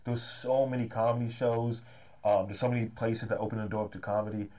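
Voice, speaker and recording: A man in his thirties from the Los Angeles area, a promoter chatting eagerly as an interview guest, often tacking "man" onto his sentences. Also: fairly low pitch. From Los Angeles area, California. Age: 30s. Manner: fast, energetic, repeats "man" often. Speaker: comedy and hip-hop promoter, guest. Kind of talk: casual interview chat.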